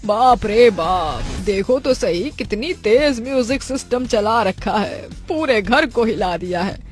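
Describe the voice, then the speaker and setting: A woman voicing a fussy middle-aged village mother-in-law in a family cartoon, with drawn-out exclamations and nagging questions. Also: on the high side, lively intonation, faint music behind. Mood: scolding. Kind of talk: Hindi family cartoon voice acting.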